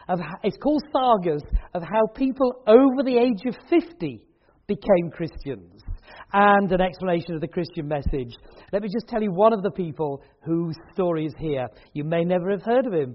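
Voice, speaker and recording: A man with a notably high voice hosts an evening event in a hall, chatting and joking with his listeners. The recording has a dull, lo-fi quality. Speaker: event host and speaker. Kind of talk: casual chat at a church event. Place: hall with an audience.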